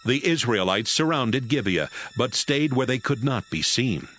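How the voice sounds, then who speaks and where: A man narrating scripture as a measured, scripted storyteller in an audio Bible. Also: medium pitch, sing-song, a bit bright, soft background music.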